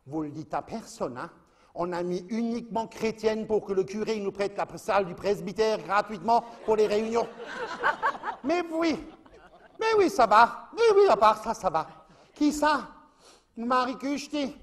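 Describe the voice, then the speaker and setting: A man's voice: high voice, fairly bright tone. A man playing a chatty middle-aged Alsatian housewife who gossips and confides on the phone, in a comic monologue.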